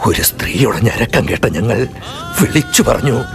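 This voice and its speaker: An older man narrating and acting a radio drama in a measured storytelling way. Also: fairly low pitch, animated, creaky, over music. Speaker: narrator and stationmaster figure.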